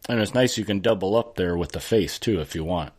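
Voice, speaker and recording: A man's voice: sits low, a little fast, a little mellow. A man co-hosting a podcast in casual chat, teasing and reacting to his fellow speakers. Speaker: podcast co-host.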